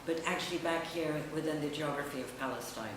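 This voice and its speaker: A middle-aged woman, a writer, presenting her work in a halting, reflective public talk with long pauses. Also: low-pitched. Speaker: writer and editor presenting own essay. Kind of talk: public talk, semi-improvised. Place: echoey indoor room at a public event. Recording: very echoey distant room recording, noisy.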